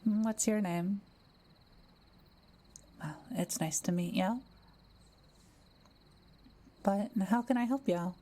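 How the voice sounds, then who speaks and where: A woman playing a kind, welcoming young witch who lives alone in the forest, speaking gently and playfully to the listener in a roleplay audio drama. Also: medium pitch. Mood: curious, welcoming. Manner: gentle, unhurried, softly playful.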